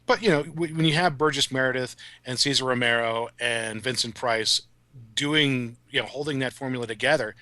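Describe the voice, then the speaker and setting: A man talks casually as a podcast guest, with a crisp, bright edge to his voice. He is articulate and enthusiastic, like a writer discussing his work. The sound is very dry, with no room around it. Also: medium pitch, normal speed, dry recording. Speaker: guest, writer of audio dramas.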